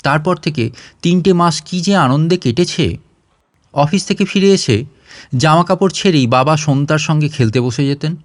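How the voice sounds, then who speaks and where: A man's voice: on the high side, moderate pace, no room sound. Man narrating a story, dry close sound. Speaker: audio story narrator and channel host. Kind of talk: scripted narration of a short story.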